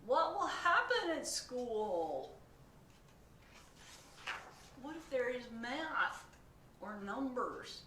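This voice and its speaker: A woman voicing an excitable, whiny male pigeon who begs and pleads melodramatically in a storybook read-aloud. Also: on the high side, unhurried, voice pushed up. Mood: anxious. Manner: wheedling, begging, melodramatic. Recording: echoey room, distant mic, faint noise.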